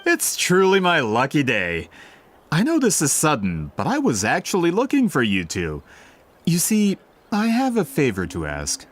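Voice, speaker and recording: An actor playing a polite, eager gentleman book merchant in a fantasy video game, speaking in a flowery, theatrical, salesmanlike way. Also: on the high side, wildly swooping pitch, moderate pace. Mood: delighted, flattering. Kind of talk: animated video game voice acting.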